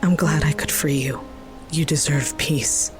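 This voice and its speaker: A young witch played by a woman speaks slowly in a fairly low, soft voice over clear background music. She is earnest and tender-hearted, breathy and hesitant, in the style of a fantasy audio drama. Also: fairly even intonation. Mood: tender, wistful. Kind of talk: fantasy audio story acting.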